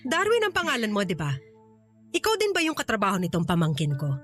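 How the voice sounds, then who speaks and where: A woman's voice: medium pitch, huge pitch swings, quick pace, fairly bright tone, soft background music. A woman playing a critical, blunt middle-aged aunt in a radio drama, needling in a Filipino accent. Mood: critical, cutting. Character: sharp-tongued, critical aunt vetting her niece's suitor. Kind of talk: Tagalog radio drama acting.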